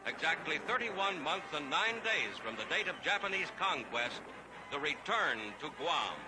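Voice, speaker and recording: A man's voice: on the high side, big pitch swings, normal speed, music in the background, rough recording. A man with an American accent narrating a scripted 1940s wartime newsreel in a dramatic, declamatory, authoritative manner, on an archival soundtrack.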